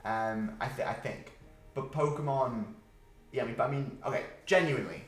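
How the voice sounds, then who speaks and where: A man's voice: medium pitch, normal speed, soft background music. A man talking casually as a podcast co-host, with a blunt, emphatic and sweary way of putting things. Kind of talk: casual chat about films and games. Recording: roomy, echoey sound.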